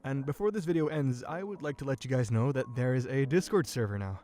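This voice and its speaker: A man's voice: mid-range voice, quick pace, mellow. A man who creates roleplay audio, making a casual announcement to his listeners.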